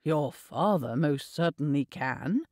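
A woman voicing a stern middle-aged gentleman in an audiobook, measured, reproving and exasperated. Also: low voice, expressive, no room sound, clean studio sound, voice pushed down, full character voice.

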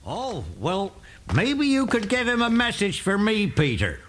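Rustic shepherd, kindly, folksy, raised pitch, swooping intonation, children's radio play. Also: male voice, huge pitch swings, a little slow, a bit bright, slight background noise, exaggerated voice. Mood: friendly, earnest. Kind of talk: children's audio drama acting.

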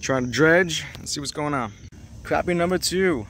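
A man narrating his fishing trip from a small boat on a lake, chatty and excited, talking straight to the camera. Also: on the high side, moderate pace. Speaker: fishing vlogger. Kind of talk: casual narration while fishing. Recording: faint outdoor noise.

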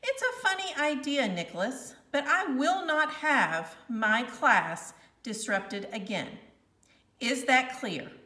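A woman voicing a stern, controlled female schoolteacher in a storybook, firm, emphatic and measured, in a roomy recording. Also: high-pitched, big pitch swings, pushed and bright, voice pushed up, exaggerated voice. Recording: roomy, slightly distant home recording.

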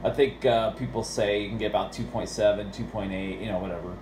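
A man's voice: fairly low pitch, steady tone, fast talker. An American man chatting casually and at rambling length about technical matters as a radio operator. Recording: roomy, with background radio noise.

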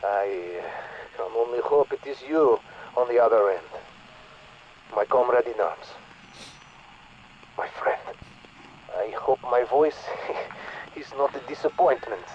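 An actor playing an earnest male Russian scientist speaking over a radio, with a Russian accent and a slow, measured manner. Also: medium pitch, animated, muffled recording, slight background noise. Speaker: in-game radio character. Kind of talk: recorded game dialogue.

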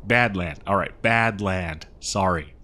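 Guy doing a kinda dumb friendly cowboy, american drawl, lowish voice, rambles and trips over his thoughts, comedy roleplay. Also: bright, forceful tone, full character voice. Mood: mildly indignant. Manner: rambling, hesitant, folksy, slow-witted. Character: dim, good-natured cowboy mail rider lost in badlands. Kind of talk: improvised comedy audio roleplay.

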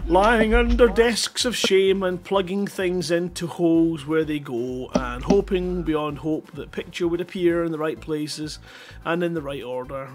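A middle-aged man hosting a livestream, chatting casually and rambling in a jokey, self-deprecating way into a headset mic. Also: fairly high pitch. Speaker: live stream host.

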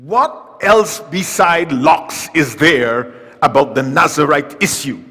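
An older man preaching from a church lectern, his fairly high voice ringing through a hall with long reverb. He reads scripture with loud emphasis and drops to quiet, wry asides. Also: lively intonation, takes it slowly, slightly rough. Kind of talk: sermon with scripture reading. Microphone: lectern mic, far camera.

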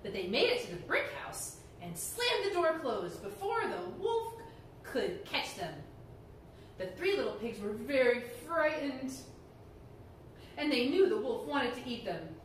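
A young woman reads a children's story in a high, very animated voice with theatrical emphasis. She is recorded in a strongly echoing room, some distance from the microphone. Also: unhurried. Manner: theatrical, expressive, emphatic delivery. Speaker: library storyteller, reader. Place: indoor room before folding screen. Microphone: camera microphone a few metres away. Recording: echoey room, distant mic, background noise.

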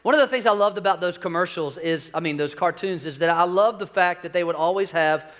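A man's voice: high-pitched, a little fast, a bit bright. A man in his forties, a senior pastor preaching on a church stage in a folksy, joking way that draws in the crowd. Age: 40s. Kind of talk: sermon. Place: large church stage with screens.

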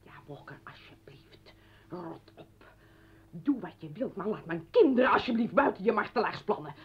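A woman's voice: medium pitch, lively intonation, normal speed, close to an everyday voice. An actress playing an anguished, accusing mother confronting her former husband in a radio play, bitter and pleading. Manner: bitter, pleading, breaking into whispers. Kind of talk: Dutch radio play acting. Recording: dull old radio recording.

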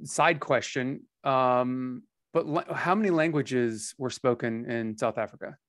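A man's voice: medium pitch, measured pace, fairly bright tone, no room sound. An American man in his forties hosts with a mid-range voice, circling his questions with plenty of fillers. The close headset mic keeps the sound dry and near. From United States. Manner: rambling, hesitant, many fillers. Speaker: podcast host. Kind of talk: casual interview conversation. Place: small home studio room.

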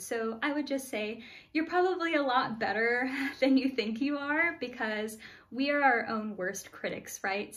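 A woman's voice: mid-range voice, fairly bright tone. A young American woman answering interview questions at home in a friendly, thoughtful way, with frequent "uh" fillers. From United States. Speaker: interview guest. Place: small room at home.

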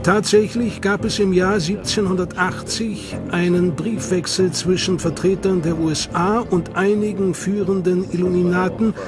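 A male documentary narrator reads his script in a measured, dramatic storytelling manner. His voice is on the high side for a man, and a music bed plays clearly behind him. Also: level tone.

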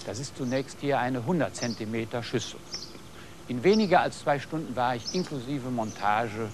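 A man's voice: medium pitch, a little hiss or hum. Didactic middle-aged male TV host. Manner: didactic, addresses viewers directly. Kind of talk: scripted studio presentation. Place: studio set dressed as a garden patio. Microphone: lapel mic.

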